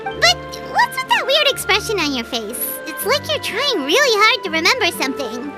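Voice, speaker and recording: A woman voicing a tiny, perky and blunt childlike fairy companion in a video game, with a squeaky voice. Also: huge pitch swings, normal speed, music in the background, exaggerated voice. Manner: refers to herself in third person, exclaims and questions. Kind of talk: cartoonish video game acting.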